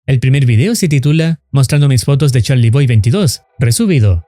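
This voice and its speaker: A man's voice: mid-range voice, lively intonation, fairly quick, warm tone, dry recording. A man reading scripted narration for a horror explainer video.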